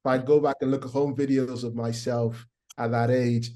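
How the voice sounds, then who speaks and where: A man's voice: medium pitch, flat delivery, normal speed, mellow. A middle-aged man with a Nigerian accent and a faint American twang, a guest chatting casually and rambling with plenty of fillers and restarts. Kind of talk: casual interview chat.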